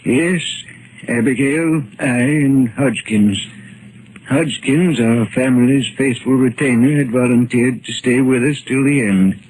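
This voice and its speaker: Man as a courtly old Victorian gentleman, formal. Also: mid-range voice, natural voice. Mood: confiding, ashamed. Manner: formal, polite, measured. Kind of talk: 1940s radio acting. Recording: noisy old 1940s radio recording.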